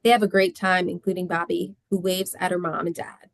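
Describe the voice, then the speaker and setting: A woman's voice: fairly low pitch, level tone, brisk, gravelly, no room sound, clean studio sound. A woman reading scripted stage directions as narrator of a screenplay table read.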